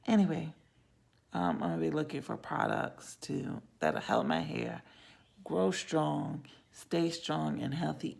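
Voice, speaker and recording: Hoarse low-voiced woman vlogger. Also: a little mellow. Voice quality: raspy, hoarse-sounding voice. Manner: rambling, self-talk with many trailing whispers. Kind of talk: casual vlog talk to camera.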